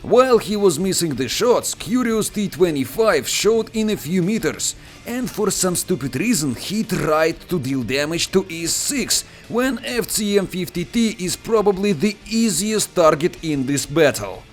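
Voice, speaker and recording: A man's voice: unhurried, few pauses, faint music behind. A man with a Russian accent, a native Russian speaker, narrating a tank battle replay in a playful, joking commentary style. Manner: playful, joking, expressive with sudden pitch jumps. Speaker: gameplay commentator. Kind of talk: scripted game commentary voice-over.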